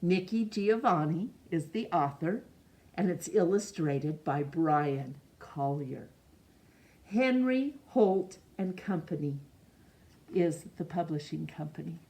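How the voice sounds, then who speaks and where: Slow, low-pitched woman reading a storybook. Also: expressive, warm tone. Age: middle-aged. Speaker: storytime reader. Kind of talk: read-aloud storybook narration. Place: small room indoors.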